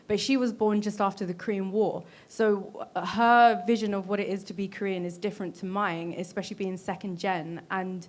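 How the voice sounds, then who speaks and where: Earnest British woman speaking on a panel. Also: mid-range voice. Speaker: panelist, Korean cultural ambassador. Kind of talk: reflective panel talk.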